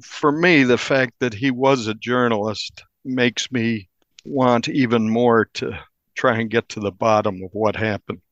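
A man, a newspaper reporter, recounting a crime case in an interview with measured, deliberate storytelling. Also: medium pitch, a bit bright, no room sound, dull audio.

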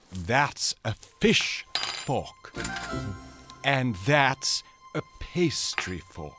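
A fussy English manservant in a radio drama. He speaks formally and precisely at a middling pitch with animated intonation, politely exasperated. Music runs underneath.

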